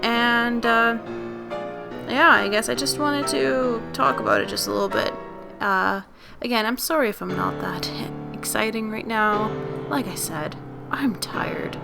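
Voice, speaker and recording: A tired young woman with a raspy, lower voice, talking casually and unscripted in a vlog, full of fillers and restarts. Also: unhurried. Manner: rambling, many fillers and self-corrections. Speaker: video creator. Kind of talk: unscripted casual vlog talk over speed-drawing. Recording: music playing underneath.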